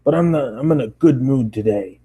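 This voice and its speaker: A man's voice: mid-range voice, expressive, brisk, mellow, breathy edge, pitched above the performer's own voice. A man voicing an angry, bullying middle-aged male store boss in a blustering, barking way for a story reading. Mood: threatening.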